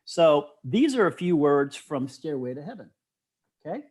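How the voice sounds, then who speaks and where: A middle-aged man with a slightly high voice talks as an interview guest. He is animated and enthusiastic, sharing ideas and anecdotes in a casual, conversational way. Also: normal speed.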